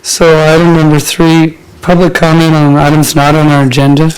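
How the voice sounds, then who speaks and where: A man's voice: on the high side, level tone, moderate pace, smooth, low-quality recording. A man chairing a city advisory committee meeting, his voice weakened by a cold, speaking in a procedural way with some hesitation. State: recovering from a cold, voice weak. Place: council chamber.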